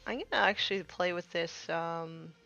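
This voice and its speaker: A woman's voice: fairly low pitch, moderate pace, creaky, sharp tone, highs cut off, faint music behind. A woman in her thirties chatting casually while painting miniatures on a live stream, with many fillers, sometimes trailing off to a murmur.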